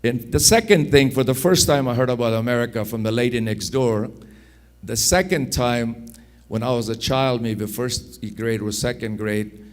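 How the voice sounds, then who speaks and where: A man in his sixties from Afghanistan with an Afghan accent, telling personal stories in an unhurried, anecdotal way, repeating phrases for emphasis. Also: medium pitch. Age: 60s. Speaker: speaker telling his life story. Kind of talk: personal storytelling.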